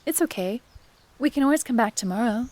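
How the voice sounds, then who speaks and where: A woman's voice: on the high side, expressive, a little fast, darkish tone. A woman playing an affectionate young student fiancée in an audio drama, with a conversational delivery. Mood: affectionate, teasing. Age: young adult.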